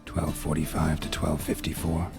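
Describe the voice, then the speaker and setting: An actor playing a gruff, stoic monster hunter in a video game, low and deadpan, speaking in clipped phrases. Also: male voice, moderate pace, over music. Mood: brooding, murmuring. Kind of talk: video game cutscene acting.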